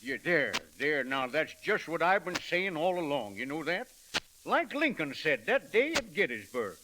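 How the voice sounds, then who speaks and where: High-voiced, excitable Western American rancher. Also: male voice, moderate pace, noisy background. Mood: fumbling. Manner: excitable, stammering, folksy. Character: folksy, blustering, good-hearted American Western rancher. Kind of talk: 1930s radio acting.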